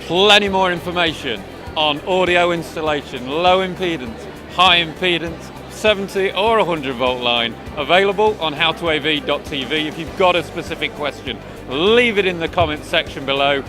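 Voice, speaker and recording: A man's voice: a little high, normal speed. A middle-aged man presenting and asking questions as the host of a technical interview. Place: busy trade show floor. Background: music.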